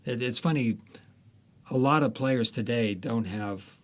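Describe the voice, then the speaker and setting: A man, an author, talking through his ideas in a casual interview, thoughtful and halting, with frequent restarts. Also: medium pitch.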